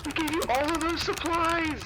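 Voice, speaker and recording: A man's voice: extremely high pitch, steady tone, moderate pace, very noisy. A man playing a needy, bored, whiny coworker in an audio drama, with a pleading, dramatic manner. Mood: pleading, wounded.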